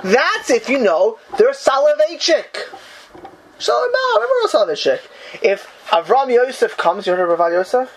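A man's voice: very high-pitched, huge pitch swings, moderate pace, a little hiss or hum. A man teaching a Talmud class in a conversational way. He keeps checking in with his students and acts out the people he quotes.

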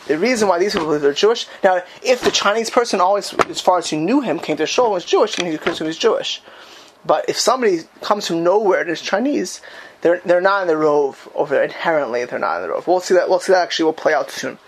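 A man's voice: high voice, animated, fast, a little hiss or hum. A man teaching a Talmud class in a conversational way. He keeps checking in with his students and acts out the people he quotes.

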